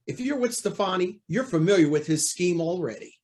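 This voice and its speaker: An actor playing a proud, anxious, cornered judge in an old-time radio drama, speaking in a formal, indignant, theatrical way. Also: male voice, high-pitched, lively intonation, brisk, a little mellow. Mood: bitter, defensive.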